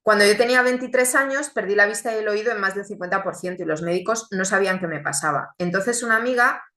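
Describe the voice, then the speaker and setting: A woman, born in Chile, giving an earnest, reflective religious testimony with deliberate pauses. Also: mid-range voice, sharp tone, reverberant. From Chile, raised in Spain.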